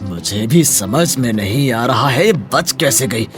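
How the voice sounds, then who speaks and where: A man's voice: mid-range voice, animated, unhurried, soft background music, close to an everyday voice. An actor playing a thuggish, boastful and menacing village villain, devoted to his lover, in a dramatized moral story. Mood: defensive bravado.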